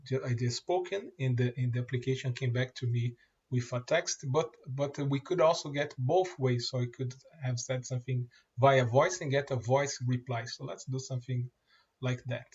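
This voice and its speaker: Male presenter, native Portuguese speaker, demoing software, mid pitch, halting delivery, muffled recording. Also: steady tone, husky. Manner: halting, many fillers and restarts. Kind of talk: screen-share product demo.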